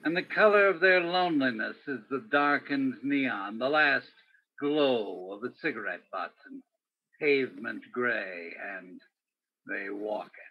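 A man playing a brooding, world-weary Broadway police detective in a radio play, with a measured, pensive, dramatic delivery. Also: mid-range voice, close to an everyday voice. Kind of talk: radio play acting with poetic noir narration. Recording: dull, muffled lo-fi audio.

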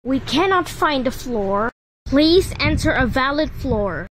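A woman reading scripted elevator announcements in deliberate, separated words and short phrases. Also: high-pitched, animated, slight background noise.

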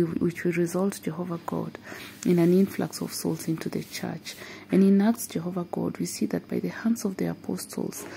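A woman leads an intercessory prayer, fervent and steady, breaking her petitions with short repeated interjections. Her voice is very gravelly and dark-toned, with a faint hiss underneath. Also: on the low side, low-quality recording. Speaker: prayer leader.

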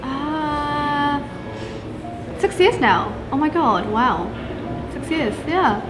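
A young woman chats easily and playfully, laughing often. Her voice sits fairly high. Music plays under her. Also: animated, extremely slow, rough recording. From New Zealand. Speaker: vlogger answering interview questions. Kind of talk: casual chat. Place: bright café by large windows. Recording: music playing under the talk.

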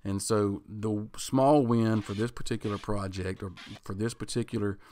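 A man in his thirties, a woodworking channel host, talking through his project in a relaxed voice-over, thinking aloud with ums and restarts. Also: on the low side, normal speed, slight background noise. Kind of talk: casual voice-over explaining a project.

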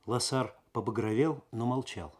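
A man reading a story aloud as dramatic 1970s radio narration, measured and expressive. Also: mid-range voice.

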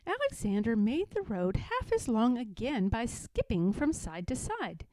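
High, animated woman reading a storybook. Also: a little slow, no echo. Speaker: story reader. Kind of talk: expressive children's storybook narration.

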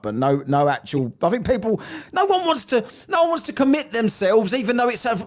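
A British man in his forties chatting casually as a podcast host, rambling and sweary, close on a mic. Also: fairly high pitch. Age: 40s. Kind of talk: casual chat. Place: small room at home. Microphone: close studio mic on an arm.

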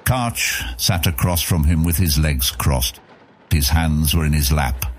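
A man narrating a fantasy audiobook in a measured storytelling manner. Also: rumbling low, slight background noise.

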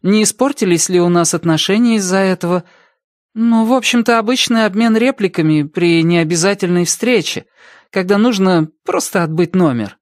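A polite, composed woman witness played by a male narrator explains things matter-of-factly in a detective audiobook. The voice is pitched up and lighter, recorded dry and without room. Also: moderate pace, no room sound, close to an everyday voice. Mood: casual, dismissive. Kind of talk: audiobook character dialogue.

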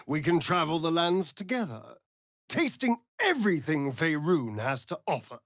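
An actor playing a sardonic, flirtatious vampire rogue in a fantasy video game, with an arch, theatrical, drawling delivery and sly asides. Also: male voice, fairly high pitch, sing-song, normal speed, a bit bright, dry recording, studio quality. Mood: teasing, seductive. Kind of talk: video game character voice acting.

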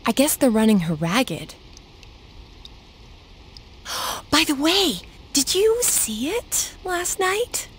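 A woman playing an energetic, curious, outspoken teenage schoolgirl in an anime-style video game, talking in an excitable, informal way. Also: high voice, slow, pauses often, a little hiss or hum, heavily acted. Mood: gossipy, curious.

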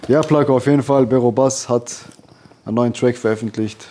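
A man's voice: medium pitch, steady tone, a little fast, warm tone. Eager man asking questions. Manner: eager, asks questions, short backchannels. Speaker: co-host. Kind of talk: casual interview chat. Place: lounge by a boxing ring, indoors.

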